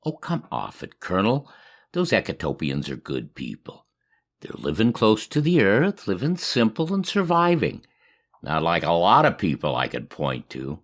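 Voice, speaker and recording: A man playing a steady, kindly, plain-spoken ham radio operator in an audio drama. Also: deep voice, moderate pace, heavily acted. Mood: concerned, defending.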